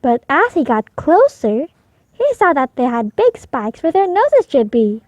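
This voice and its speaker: A woman reading a picture book aloud for young children, expressive and deliberate, in a slightly muffled recording. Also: high-pitched, dry recording. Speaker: storybook reader.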